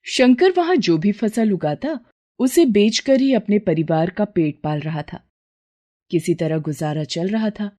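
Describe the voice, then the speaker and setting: Mellow woman narrating a moral story. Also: medium pitch.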